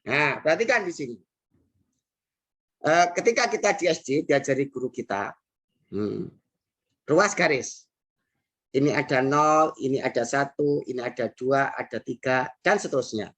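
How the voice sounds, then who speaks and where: A woman's voice: a bass voice, normal speed, long pauses, slightly rough. A woman teaching a math lesson with an Indonesian accent, working through problems patiently and repeating key phrases, with frequent "nah" and "hmm". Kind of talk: recorded classroom math lesson.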